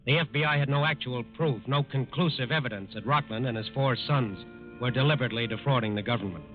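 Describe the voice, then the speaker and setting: A man narrating a 1940s radio crime drama in a measured, dramatic, grave manner. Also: mid-range voice, natural voice. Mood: grave, suspenseful. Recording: narrow-band old radio recording, music behind.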